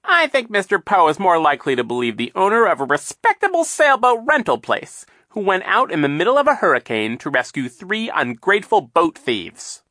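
A man voicing a scheming, mocking villain captain who talks slowly and with menace. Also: sits high, wildly swooping pitch, pushed and bright, no room sound, studio quality, voice pushed up, heavily acted. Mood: sneering. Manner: mocking, dismissive, slow menacing delivery. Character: sneering scheming male villain, sea captain.

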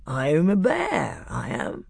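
A big old storybook bear, acted out by a narrator, speaks in a thick, sleepy voice. He drags his words out slowly and pleads with earnest, humble politeness. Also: medium pitch, huge pitch swings, vocal fry, a little mellow, no room sound, pitched above the performer's own voice, heavily acted. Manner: slow, drawn-out, pleading politeness.

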